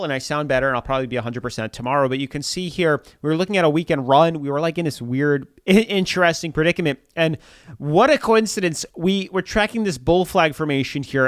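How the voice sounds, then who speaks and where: A congested man with a slightly high, smooth voice runs through market charts in a chatty, repetitive way. He keeps coming back to the same phrases. The sound is dry and close, with no room around it. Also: fairly quick, no room sound. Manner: chatty, repeats filler "like", pushes viewers to subscribe. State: congested from a cold. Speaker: crypto channel host. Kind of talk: casual market commentary.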